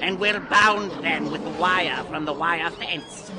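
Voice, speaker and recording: An actor playing an eerie, grandiose guide to death and Halloween in an audio drama, swinging between hushed and booming delivery. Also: male voice, slow pace, very noisy. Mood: commanding, excited. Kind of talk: full-cast audio drama acting. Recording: loud music under the voice.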